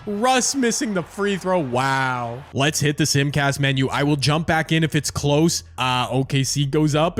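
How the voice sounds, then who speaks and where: A man giving casual, excitable play-by-play commentary on a simulated basketball game, with slangy talk and a voice that is starting to go hoarse. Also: slight background noise. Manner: energetic, casual, slangy, pitch jumps with excitement. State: losing his voice. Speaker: gaming YouTuber.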